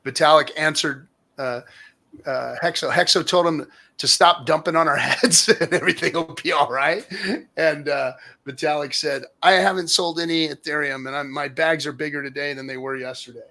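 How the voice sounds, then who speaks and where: Guy hosting a livestream, kinda higher voice, rambly casual chat, reads stuff from the comments. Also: fairly even intonation, normal speed, vocal fry, sharp tone. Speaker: livestream host.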